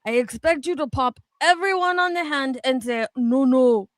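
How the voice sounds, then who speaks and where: High-voiced irreverent woman, dry close sound. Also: normal speed, no room sound. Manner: irreverent, sweary, tries on rough accents for fun. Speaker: co-host reading comments aloud. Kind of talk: dramatic reading and casual banter.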